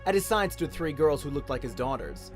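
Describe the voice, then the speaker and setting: Expressive Australian man narrating, lively pitch. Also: a little fast, faint music behind. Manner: expressive storytelling. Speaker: audiobook narrator. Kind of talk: dramatic scripted narration, radio-play audiobook.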